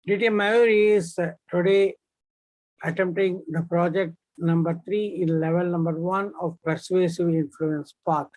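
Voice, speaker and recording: A man's voice: high voice, steady tone, a little mellow, no echo, rough recording. A man, formally reading out project objectives as a speech evaluator on a video call. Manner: formal, measured.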